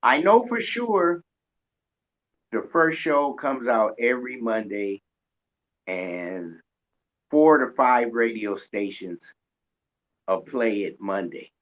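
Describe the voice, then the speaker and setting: A man hosting a casual radio interview, talking in a halting way and often repeating words. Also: medium pitch, very animated, a bit bright. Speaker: radio show host and interviewer. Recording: dull lo-fi recording.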